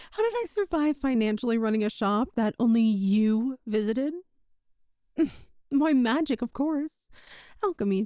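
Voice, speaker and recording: A woman's voice: sits high, mellow, dry recording, studio quality. A woman playing a sweet, possessive young witch shopkeeper in an ASMR roleplay, with a coy, doting, teasing manner. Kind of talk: ASMR romantic roleplay audio.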